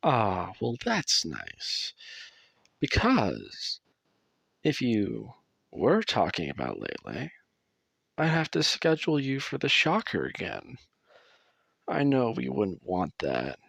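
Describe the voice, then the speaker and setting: A man playing a tall, coolly polite asylum doctor in an audio roleplay, soft-voiced and measured, with veiled menace. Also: lowish voice, wildly swooping pitch, creaky, breathy edge, no echo, studio quality, heavily acted.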